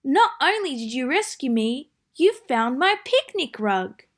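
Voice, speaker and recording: A woman's voice: sits high, sing-song, measured pace. A woman voicing a kindly, grateful owl in a children's storybook.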